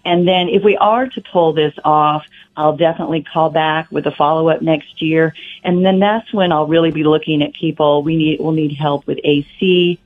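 A hoarse woman calling in to a radio show, earnest and grateful. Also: sits low, steady tone, moderate pace. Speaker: caller. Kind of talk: phone-in chat. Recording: tinny phone line.

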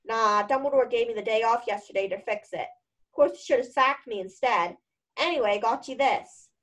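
A woman's voice: fairly high pitch, moderate pace, fairly bright tone, poor audio. Woman acting out a huge remorseful bearded man. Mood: subdued, sentimental. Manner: emotional, blunt, colloquial.

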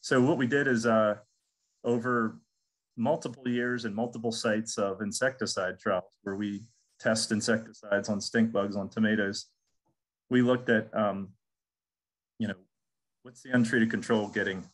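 A middle-aged man talks a webinar audience through his slides with a slightly low voice. He speaks loosely, filling pauses with "um" and repeating words as he restarts phrases. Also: fairly even intonation, low-quality recording. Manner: casual, repeats words and restarts with many ums. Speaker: extension entomologist. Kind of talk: recorded webinar lecture with slides.

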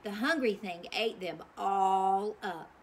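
A middle-aged woman reading a children's storybook aloud outdoors, with expressive, deliberate, theatrical emphasis. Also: mid-range voice, slight background noise. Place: outdoors on a porch swing.

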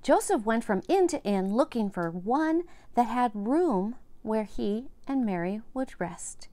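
A woman in her fifties reads aloud as a storyteller for young children. Her voice sits a little high and she takes her time, with a very slow delivery. She explains things gently and simply as she goes. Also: animated, no echo. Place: indoors, home room with bookshelves. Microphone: lapel mic.

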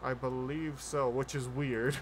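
A man's voice: medium pitch, flat delivery, measured pace, a little hiss or hum. A man doing casual streamer commentary as he reacts to a video, close on the mic. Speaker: streamer, VTuber host. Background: trailer music and sounds.

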